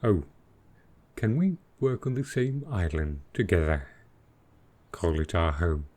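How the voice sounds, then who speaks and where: A man plays a very low-voiced male dragon in an intimate boyfriend roleplay. He speaks slowly and tenderly, with hesitant pauses. He is affectionate and possessive, and a little lost with modern technology. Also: sing-song, darkish tone, heavily acted. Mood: curious, puzzled. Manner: slow, tender, hesitant speech.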